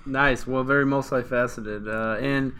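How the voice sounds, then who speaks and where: A man's voice: medium pitch, steady tone, pushed and bright, clear, resonant tone. Male interviewer, medium voice, hesitant filler-heavy phrasing. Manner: halting, filler-heavy questions. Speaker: interview host. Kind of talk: casual interview hosting.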